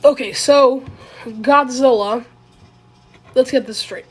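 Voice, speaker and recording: Sick, raspy woman, slow and rambling. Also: high-pitched. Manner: rambling, hesitant, self-correcting. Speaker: video creator. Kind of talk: casual commentary video.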